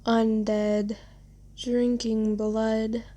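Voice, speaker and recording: Woman acting as a very slow, soothing genteel vampire. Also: fairly high pitch, level tone. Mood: patient explaining. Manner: soft-spoken, comforting, unhurried, politely genteel. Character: gentle, soothing, genteel vampire who bought a captive. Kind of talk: ASMR roleplay, scripted character acting.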